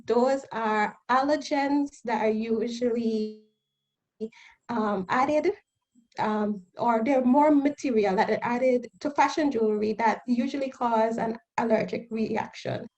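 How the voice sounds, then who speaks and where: A woman in her thirties from Guyana with a Guyanese accent, a guest on a radio interview explaining her new business in an earnest, steady way. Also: on the high side, slow pace. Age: 30s. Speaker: guest entrepreneur.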